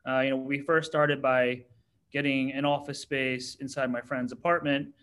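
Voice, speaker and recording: Relaxed American man, startup CEO. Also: medium pitch, normal speed, smooth. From United States. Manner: relaxed, many ums and you-knows. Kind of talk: interview answers.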